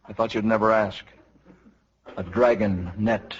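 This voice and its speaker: An actor playing a deadpan knight who talks like a clipped, polite police detective in a 1950s radio parody. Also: male voice, lowish voice, measured pace, lightly acted. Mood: deadpan, businesslike. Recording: dull, very noisy 1950s radio recording.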